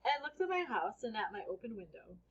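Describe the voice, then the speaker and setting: A woman narrates a children's story with a husky edge to her mid-pitched voice. She reads expressively, acting out the dialogue. The recording is slightly dull and lo-fi. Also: sing-song, fairly quick. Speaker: read-aloud storyteller. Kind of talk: children's book read aloud, first-person narration.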